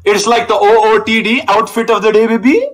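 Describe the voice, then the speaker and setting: A young man on a video call through a gaming headset, joking and boasting in a loud, cocky way. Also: sits high, brisk, a little hiss or hum. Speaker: online date contestant. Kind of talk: casual video call banter.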